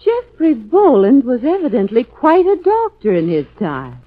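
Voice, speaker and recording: A young woman, the hero's earnest sweetheart, speaks in a fairly high voice with extremely animated melody. It is 1940s radio acting on a dull vintage recording. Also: moderate pace, dry recording. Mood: amazed. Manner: expressive, earnest.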